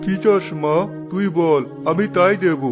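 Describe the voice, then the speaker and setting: High-pitched cartoon father. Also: measured pace. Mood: indulgent, resigned. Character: obliging middle-aged father marrying off two daughters. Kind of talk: cartoon story voice acting. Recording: music behind the voice.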